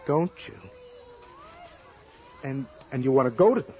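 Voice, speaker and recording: An actor playing a worried, domineering husband in an old-time radio drama, insistent and prone to stammering. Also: male voice, medium pitch, expressive, unhurried, soft background music, natural voice. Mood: alarmed, commanding. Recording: muffled, noisy old radio broadcast.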